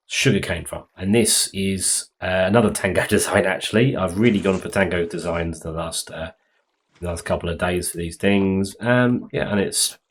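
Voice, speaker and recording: A man a little croaky from being sick, rambling casually through commentary on his game build with many ums and restarts. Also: low-pitched. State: slightly croaky from illness. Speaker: Minecraft let's play host.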